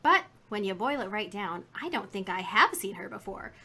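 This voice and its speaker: A woman's voice: mid-range voice, sing-song, moderate pace, bright, forceful tone, breathy edge, full character voice. An actress playing a chatty, indignant, folksy woman shopkeeper in a 1950s radio drama. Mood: reminiscing. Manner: folksy, garrulous, emphatic.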